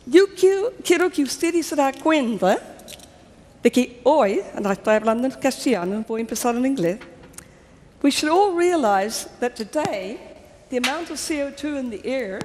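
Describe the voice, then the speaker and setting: A woman from New Zealand with a New Zealand accent giving a conference lecture, speaking in short phrases and pausing for an interpreter. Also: sits high, expressive, darkish tone. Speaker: conference speaker, plant ecologist. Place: large conference hall. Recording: long hall reverb, faint noise.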